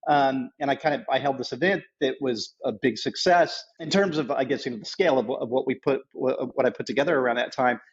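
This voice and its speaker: A middle-aged man speaking as an interview guest in a conversational storytelling way, haltingly, often repeating phrases. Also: medium pitch, slightly rough, lo-fi sound. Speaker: podcast guest, nonprofit founder.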